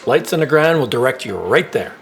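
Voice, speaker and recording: An actor playing a friendly, easygoing corporate receptionist in a naturalistic audio drama. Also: male voice, medium pitch, big pitch swings, a little fast, slight background noise. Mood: sincere, encouraging.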